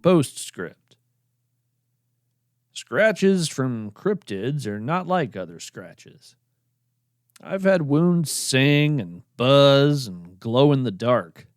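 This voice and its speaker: A man hosting a podcast with scripted narration in a measured, deadpan manner. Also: medium pitch, no room sound, studio quality. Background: plucked string music.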